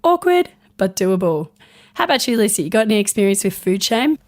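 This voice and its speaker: A hoarse, croaky woman, chatting on a podcast about her own experiences. She speaks at a middling pitch in a gentle, earnest storytelling manner. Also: very animated, fairly quick. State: hoarse from laryngitis. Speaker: podcast co-host, doctor. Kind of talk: casual podcast chat.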